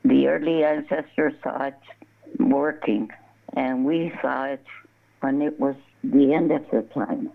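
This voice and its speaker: Gravelly older woman on a phone line. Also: rumbling low, steady tone, unhurried. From southern Colorado. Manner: rambling, restarts words, laughs often. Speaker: guest, embroidery artist. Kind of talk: casual interview, storytelling.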